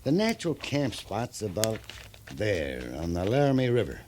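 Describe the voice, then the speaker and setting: An actor playing a shrewd, plainspoken frontier scout with a rural western American accent in a 1950s radio drama, folksy and matter-of-fact. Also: male voice, lowish voice, wildly swooping pitch, normal speed. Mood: shrewd, measured. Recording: faintly noisy 1950s radio recording.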